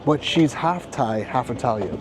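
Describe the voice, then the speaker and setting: A man from Trinidad hosting a casual vlog, chatty and excitable, laughing often as he talks at a rooftop bar. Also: mid-range voice, moderate pace, noisy background. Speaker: vlogger host. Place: busy rooftop bar and restaurant in a city.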